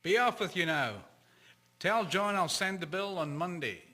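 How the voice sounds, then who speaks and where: A man's voice: sits high, expressive, normal speed, sharp tone, full character voice. A man playing a folksy, hearty old-time blacksmith, exclamatory, in a radio drama. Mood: wry, obliging.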